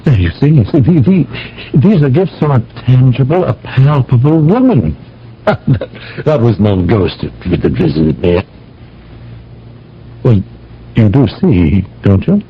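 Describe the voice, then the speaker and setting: Impassioned young romantic, old-time radio drama, very animated, insistent, stammering, muffled broadcast sound. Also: male voice, mid-range voice, a little slow, pauses often, a little hiss or hum. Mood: frantic insistence. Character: agitated young lover insisting his night visitor lives. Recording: dull radio drama recording with music.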